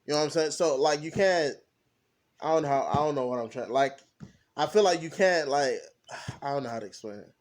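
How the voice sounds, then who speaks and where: Halting young man on a podcast. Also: fairly high pitch. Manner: halting, searches for words, many fillers. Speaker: podcast co-host. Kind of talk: casual discussion.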